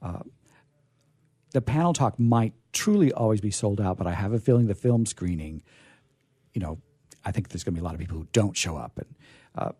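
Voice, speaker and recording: A man's voice: fairly low pitch, expressive, brisk, long pauses, dark tone, breathy edge, dry recording, studio quality. A middle-aged or older man hosting a radio show, chatting casually and enthusiastically in a rambling, self-deprecating way. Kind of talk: casual unscripted event chat.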